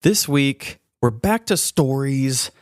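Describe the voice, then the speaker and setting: A man's voice: medium pitch, expressive, slow pace, dry recording, studio quality. A man hosting a podcast, talking casually and narrating his own writing. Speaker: podcast host and story writer.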